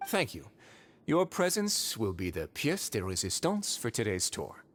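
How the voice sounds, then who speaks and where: An actor voicing a composed, dryly courteous male prison warden in a video game, speaking in a measured, polite way. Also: lowish voice, huge pitch swings, fairly bright tone, a little hiss or hum, full character voice.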